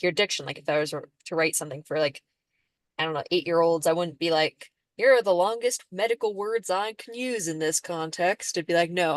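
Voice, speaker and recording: A woman's voice: low-pitched, no echo. A young woman moderating a casual group discussion in an informal, conversational way.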